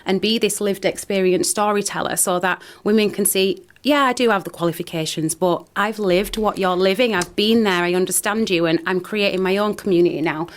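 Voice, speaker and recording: A woman's voice: mid-range voice, brisk, hardly any pauses. A woman talks about herself in a radio studio. She is earnest and personal, often restarting her sentences as she goes. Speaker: guest, founder of a wellbeing company. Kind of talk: radio interview.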